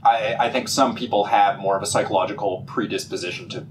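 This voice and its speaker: A young man co-hosting a casual chat about a TV episode, animated and halting, full of "like" and restarts. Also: fairly low pitch, noisy background. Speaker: podcast co-host.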